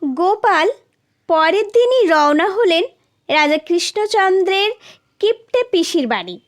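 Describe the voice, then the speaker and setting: A woman's voice: very high voice, lively intonation, measured pace. A woman storyteller reading a scripted folktale narration.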